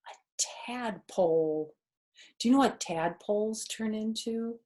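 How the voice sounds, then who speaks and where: Woman, middle-aged, very unhurried pace, medium pitch, children's storytime reader, simple explanations. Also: a little mellow. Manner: slow, deliberate, explains words simply to children. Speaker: storyteller reading picture books to children. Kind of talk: read-aloud storytime with chatty asides. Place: small furnished living room, indoors.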